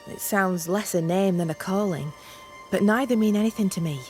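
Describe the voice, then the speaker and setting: A woman's voice: medium pitch, normal speed, darkish tone, faint music behind, natural voice. An actress playing a guarded, reluctant colonial woman in a video game, speaking in a terse, wary manner. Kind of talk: scripted video game cutscene acting.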